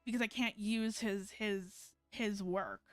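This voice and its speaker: A woman's voice: mid-range voice, fairly even intonation, takes it slowly, no echo, faint music behind. A woman streaming a game with casual, colloquial commentary, rambling and often interrupting herself mid-thought. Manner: rambling, colloquial, frequent hedging and self-interruption.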